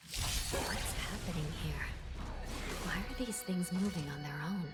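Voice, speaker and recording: A woman acting as a solemn, worried game official, her low voice breathy and measured. Music plays clearly behind her dramatic lines. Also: natural voice. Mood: alarmed, puzzled.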